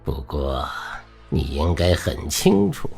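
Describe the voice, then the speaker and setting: An actor playing a cold, sinister middle-aged intruder in an audio drama, speaking in a slow, detached, menacing murmur. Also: male voice, extremely low pitch, huge pitch swings, gravelly, a little mellow, heavily acted. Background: faint music.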